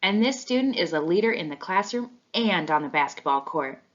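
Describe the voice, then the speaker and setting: A woman voicing an encouraging schoolteacher making proud, deliberate announcements in a children's storybook, on a lo-fi recording. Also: fairly low pitch, expressive.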